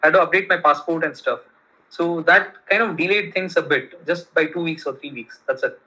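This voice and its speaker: Guy from india, kannada first language, thirties, kinda high bright voice, lots of uh and restarts. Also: a little fast, breathy edge, poor audio. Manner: rambling with many fillers and restarts. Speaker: guest telling a business story. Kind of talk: casual conversation.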